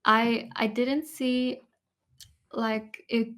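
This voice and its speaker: German-accented female guest, soft-spoken, flat delivery, hesitant. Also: fairly high pitch, very slow. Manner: hesitant, many fillers, thoughtful. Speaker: guest creator. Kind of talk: soft-spoken interview chat.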